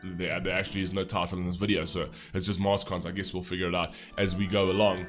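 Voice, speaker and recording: Man with a head cold, deep voice, sharp tone, rambling commentary, faint music behind. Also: level tone, fast. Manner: loose, rambling, with many fillers and restarts. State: stuffy nose from a cold. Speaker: music reaction host. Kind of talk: casual reaction commentary.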